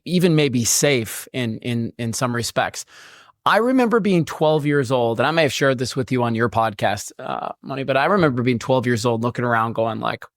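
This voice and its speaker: Man, podcast co-host, candid and casual, mid-pitch, dry sound. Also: fairly bright tone, no room sound. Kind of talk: casual interview chat.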